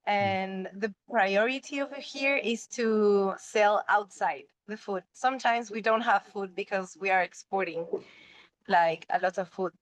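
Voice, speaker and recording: A young woman from Chile with a Chilean Spanish accent and Spanish as her first language, asking a question on a video call, pausing often to find her words. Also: mid-range voice. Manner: halting, searching for words. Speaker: workshop participant. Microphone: laptop or phone on a video call.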